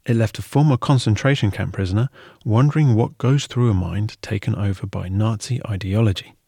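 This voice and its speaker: A man reads scripted documentary narration as a podcast host. His voice is fairly low and slightly raspy, captured close and dry with no room sound. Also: fairly quick, warm tone, dry recording.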